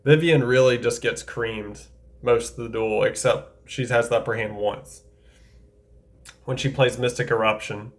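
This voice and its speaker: A man's voice: mid-range voice, fairly even intonation, unhurried. Chatty man ranking anime duels. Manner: chatty, enthusiastic, thinks aloud with self-corrections. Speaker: anime tier-list creator. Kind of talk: casual tier-list commentary.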